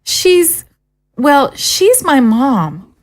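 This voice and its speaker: Audio drama refugee girl, played by a woman: high, expressive, earnest, hesitant and respectful. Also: full character voice. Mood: pleading. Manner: halting, earnest, deferential. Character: anxious, pleading young female refugee.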